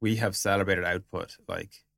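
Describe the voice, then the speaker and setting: Guy with a lowish voice explaining stuff casually on a business podcast, really dry sound. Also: steady tone, normal speed, gravelly, fairly bright tone, no room sound. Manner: informal, explanatory, says "like" and "you know" often. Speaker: podcast guest, product executive.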